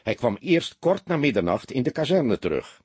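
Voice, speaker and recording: A man voicing a seasoned, greying police detective in an audiobook, calm, sober and matter-of-fact. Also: medium pitch, moderate pace, slightly rough, no room sound.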